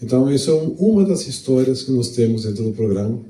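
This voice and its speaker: A middle-aged man from Venezuela, hosting a launch event in a relaxed, chatty way with restarts, speaking into a handheld mic in a hall. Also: medium pitch, fast talker, recorded from a distance. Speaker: event host. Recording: echoey hall, mic some way off.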